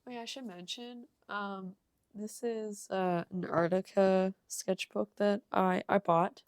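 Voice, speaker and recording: A young woman with a cold and a slightly hoarse voice, rambling casually with ums and soft laughs as she shows her art. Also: mid-range voice, fairly even intonation, slow pace. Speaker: art channel creator. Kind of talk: casual sketchbook tour voice-over.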